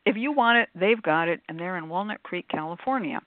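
A woman's voice: lowish voice, expressive, brisk, dry recording. A woman hosting a casual live talk show, chatty and effusive, with emphatic asides. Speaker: radio show host. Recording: phone-like, narrow-band sound.

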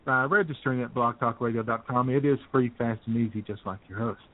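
A man's voice: medium pitch, a little fast. A man co-hosting an internet radio show with casual, irreverent, wisecracking comedic banter. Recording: thin phone-like internet radio sound.

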